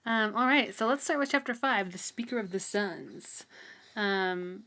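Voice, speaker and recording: A woman's voice: mid-range voice, unhurried, pushed and bright. A woman co-hosting a podcast, casually discussing a book in an informal, giggly way. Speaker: podcast co-host.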